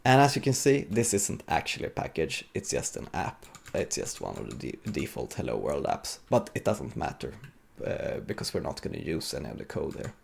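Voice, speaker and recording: A man's voice: extremely low pitch, huge pitch swings, moderate pace, vocal fry, breathy edge. A man giving an informal technical demo at a conference, thinking aloud with some hesitation as he goes. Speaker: software developer giving a conference talk. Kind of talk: live coding demo, technical presentation.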